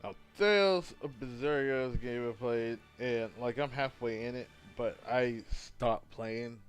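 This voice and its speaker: A hungover man casually chatting with his livestream viewers as he sets up a game, rambling and halting. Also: mid-range voice, clean tone, faint music behind. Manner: rambling, halting, talks to chat while fiddling with setup. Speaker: gaming livestreamer.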